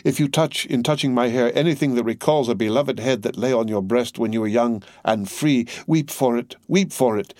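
A man reading aloud, voicing a young woman who gently and earnestly pleads with her father. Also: mid-range voice, moderate pace, hardly any pauses, no room sound, studio quality, close to an everyday voice. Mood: pleading. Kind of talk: audiobook character voice.

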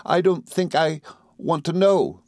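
Man voicing a childish, high-pitched, tearful young wife. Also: a little slow, a little mellow, dry recording, clean studio sound. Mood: pouting. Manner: plaintive, sobbing, exclamatory. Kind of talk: dramatised classic novel reading.

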